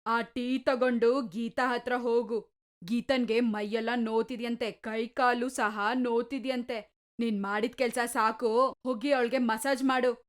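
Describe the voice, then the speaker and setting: A harsh, commanding stepmother from a cartoon story, voiced by a woman. The voice drops low and pushes loud, barking orders and taunts. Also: fairly even intonation, measured pace, close to an everyday voice. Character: cruel scolding stepmother, middle-aged woman.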